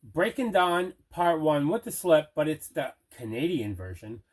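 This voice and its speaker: A middle-aged man casually showing items in an unboxing, deliberate and repeating himself. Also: on the high side, big pitch swings, husky. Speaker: host of a movie collecting show. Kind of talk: casual unboxing show-and-tell.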